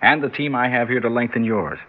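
A man's voice: medium pitch, brisk, natural voice. An actor playing an earnest, reassuring and authoritative male surgeon in an old-time radio drama. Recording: muffled vintage radio recording.

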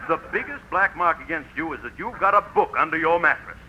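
An actor playing a stern old Santa Claus in a 1940s radio comedy, scolding theatrically with drawn-out emphasis. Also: male voice, on the high side, lively intonation, sharp tone. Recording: muffled, hissy old radio recording.

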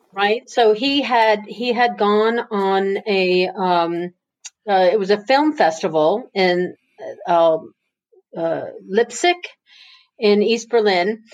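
A woman, whose was Italian, telling family stories as an interview guest, laughing often and restarting her sentences. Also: mid-range voice. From Italy. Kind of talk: casual storytelling.

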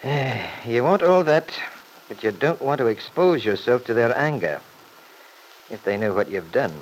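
An actor playing a shrewd, dryly sardonic attorney in an old radio serial, probing and deliberate. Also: male voice, medium pitch, animated, close to an everyday voice. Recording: noisy old radio broadcast recording.

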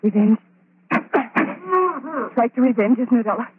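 A woman's voice: on the high side, expressive, slow, narrow, tinny audio. An actress playing a young woman driven mad by vengeance in an old-time radio horror drama, intense and obsessive. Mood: crazed triumph. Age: young adult. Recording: noisy band-limited old radio recording.

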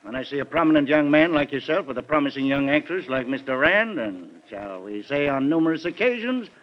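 A man's voice: on the high side, animated, brisk, lightly acted. An actor playing a pushy, persistent male newspaper reporter in an old radio drama. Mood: pushy, insistent. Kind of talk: 1940s radio drama acting. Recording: dull vintage radio recording.

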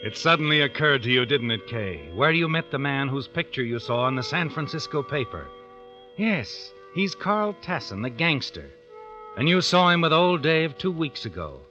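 An ominous storyteller of an old radio thriller, insinuating and deliberate. His voice is sharp and intense at a medium pitch. Faint music sits behind the dull vintage recording. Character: ominous, knowing male narrator addressing the heroine. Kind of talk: dramatic second-person radio suspense narration. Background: dramatic music.

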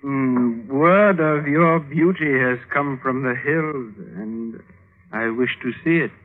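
An actor playing a flattering, courtly palace owner with florid speech, in a 1950s radio drama. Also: male voice, medium pitch, background noise, close to an everyday voice. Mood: amorous, flattering. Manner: slow, florid, smooth-talking. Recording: tinny, narrow-band old radio recording.

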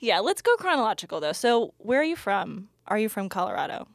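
A woman's voice: medium pitch, big pitch swings, a little fast, gravelly, no room sound, studio quality. A woman hosting a startup podcast, reading the intro and interviewing a guest in an upbeat, easy manner. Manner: upbeat, laughs easily. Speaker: podcast host.

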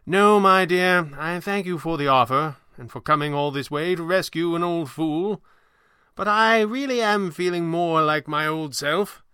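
A man voicing a gentle, amused old stage magician and sorcerer with a courtly, theatrical manner in an audiobook story. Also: on the high side, a little slow, smooth, no room sound, clean studio sound, pitched above the performer's own voice. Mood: fond.

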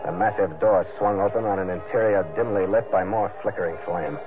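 An actor playing a hard-boiled, stubborn sea captain in an old radio adventure, terse, brooding and matter-of-fact. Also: male voice, low voice, brisk, lightly acted. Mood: tense, watchful. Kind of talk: old-time radio adventure narration and acting. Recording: narrow-band old radio recording under loud music.

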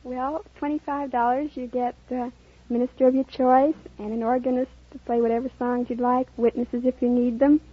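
A woman contestant answers the host in a high, slightly husky voice. She takes her time with each reply. The old radio sound is dull, with some audience behind her. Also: flat delivery, moderate pace. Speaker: quiz show contestant. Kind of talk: answering a host's questions.

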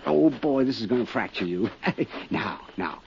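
An actor in a 1950s radio drama playing an excitable, giggly man who talks eagerly and insistently. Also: on the low side, a little slow, vocal fry. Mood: teasing, excited. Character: excitable giggling man showing off a novelty necktie. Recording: dull, noisy 1950s radio recording.

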